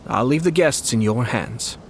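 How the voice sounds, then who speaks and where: A man playing a composed young nobleman in a video game, formal, polite and measured in speech. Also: medium pitch, lively intonation, creaky, slight background noise.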